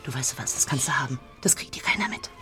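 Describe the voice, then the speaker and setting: A woman playing a brisk, teasing young seamstress with conspiratorial, half-whispered asides in a German radio drama. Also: a bass voice, huge pitch swings, over music, full character voice.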